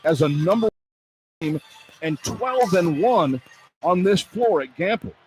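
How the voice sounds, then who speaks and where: A male play-by-play announcer with a somewhat high-pitched voice delivers live sports radio commentary. He runs through the halftime picture as a broadcaster working inside a basketball arena. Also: lively intonation, slow, soft background music.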